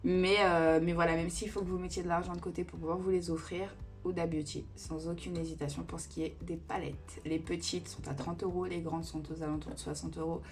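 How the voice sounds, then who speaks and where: Casual beauty vlogger, woman in her thirties, lowish voice, chatty, rambles with fillers, faint music behind. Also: level tone, a little fast, hardly any pauses, a little mellow. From Italian family roots. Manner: chatty and digressive, with many fillers. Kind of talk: casual Q&A chat.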